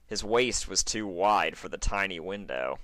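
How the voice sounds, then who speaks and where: A man's voice: sits low, expressive, unhurried, a bit bright, no room sound. A man narrating a fan fiction story in a dramatic, scripted reading style.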